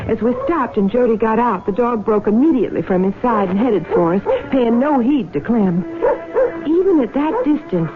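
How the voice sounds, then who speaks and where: An actress playing a devoted, fearful young farm wife with steely resolve, speaking earnestly in a radio drama. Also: female voice, a little high, animated, moderate pace, natural voice. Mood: haunted. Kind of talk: 1970s radio drama acting and first-person narration. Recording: muffled old radio broadcast with music.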